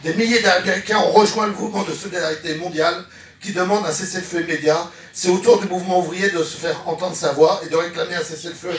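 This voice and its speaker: A man's voice: high-pitched, steady tone, fast. A man speaking as a trade unionist at a public meeting, recorded from a distance in an echoey room. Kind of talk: public meeting speech, partly reading a statement aloud.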